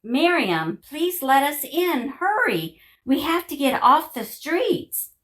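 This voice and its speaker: A woman's voice: high-pitched, big pitch swings, slow pace, a bit bright, exaggerated voice. A woman playing a brave, protective young royal pleading earnestly in an audio Bible drama. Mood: urgent. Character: brave, pleading princess protecting her baby nephew.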